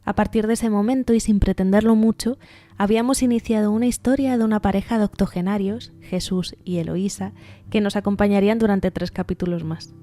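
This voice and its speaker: Mellow Spanish woman hosting a podcast. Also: mid-range voice, fairly even intonation, fairly quick, soft background music. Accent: Spanish from Spain. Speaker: podcast host and art therapist. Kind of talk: scripted podcast narration.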